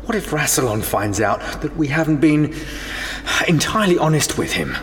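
An actress playing a blunt, suspicious general who presses with challenging questions. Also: female voice, deep voice, big pitch swings, moderate pace, in a large hall, slight background noise. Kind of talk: audio drama acting.

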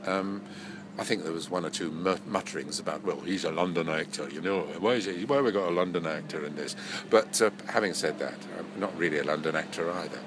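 Older man, deep and nasal with a cold. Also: a little fast, noisy background. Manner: rambling, self-correcting, chuckling. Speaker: actor and voice-over artist. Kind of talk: relaxed interview reminiscing.

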